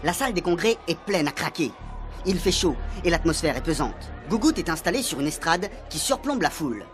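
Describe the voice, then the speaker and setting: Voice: medium pitch, big pitch swings, a little fast. A narrator reading a scripted, dramatic recap for a comic radio serial. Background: faint music.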